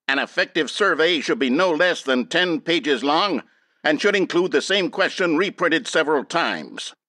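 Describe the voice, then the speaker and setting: An actor playing the upbeat, earnest announcer of a comedy instructional video. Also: male voice, a little high, pushed and bright, no room sound. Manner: upbeat, matter-of-fact, absurdly earnest. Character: chipper male announcer of a comedy training video. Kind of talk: scripted instructional narration. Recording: dry close studio sound.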